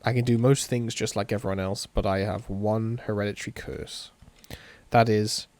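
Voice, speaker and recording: Male let's-play reader, lower register, theatrical and expressive, narrates game text with off-the-cuff reactions. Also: moderate pace. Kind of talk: reading a visual novel aloud with reactions.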